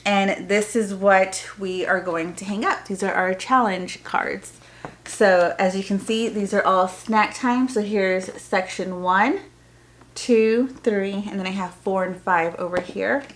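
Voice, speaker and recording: A woman's voice: mid-range voice, steady tone, slow pace, slight background noise. Chatty woman vlogger, informal explainer. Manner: chatty, informal explaining with loose, trailing sentences. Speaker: homeschooling vlogger. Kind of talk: casual unboxing review to viewers.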